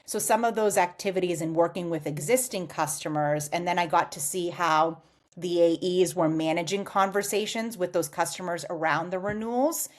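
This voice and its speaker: An American woman in her forties, giving measured, explanatory answers as a guest on a podcast interview. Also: fairly low pitch. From United States. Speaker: guest, customer success executive.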